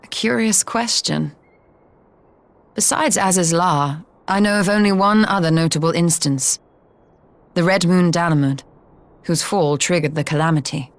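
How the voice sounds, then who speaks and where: An actress playing a measured, cautious scholar in a video game cutscene, speaking formally and precisely. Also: female voice, lowish voice, no echo. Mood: curious, informative.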